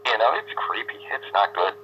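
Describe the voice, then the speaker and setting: A man giving a casual phone interview, opinionated and talking in quick bursts. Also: fairly low pitch, expressive, a little hiss or hum. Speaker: interview guest. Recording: dull phone-line sound.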